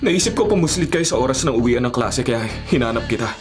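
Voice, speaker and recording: A man's voice: mid-range voice, animated, fast, music in the background, natural voice. A man acting a serious, firm young bodyguard in a Filipino radio drama. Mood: firm, protective. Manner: earnest, firm. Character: serious, protective, dutiful young male bodyguard.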